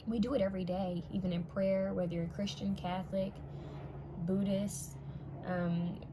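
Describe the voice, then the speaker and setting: A young woman giving a casual pick-a-card tarot reading to her viewers, her voice a little raspy from many readings, now and then dropping to a whisper as she reads the cards. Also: mid-range voice, warm tone, a little hiss or hum. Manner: intuitive, addresses viewers directly, drops to whispers while reading cards.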